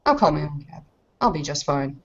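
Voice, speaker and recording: A woman's voice: deep voice, animated, fairly quick, highs cut off, a little hiss or hum, voice pushed down. A woman playing a haughty, angry young noblewoman in a tabletop game, speaking in a clipped, formal manner. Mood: haughty, annoyed.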